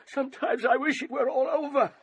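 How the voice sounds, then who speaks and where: Frail elderly uncle, very high-pitched, fearful and faltering, radio drama. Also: male voice, steady tone, fairly quick, creaky. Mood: despairing, afraid. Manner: faltering, stammering, repeating words. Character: frail, frightened old uncle, tormented and mind wandering.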